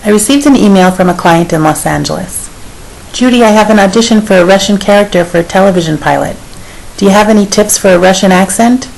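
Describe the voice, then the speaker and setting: A woman speech and dialect coach reading a scripted piece of advice in a measured, advisory tone. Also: medium pitch, gravelly, a little mellow, rough recording. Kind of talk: scripted narration. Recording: faint hiss.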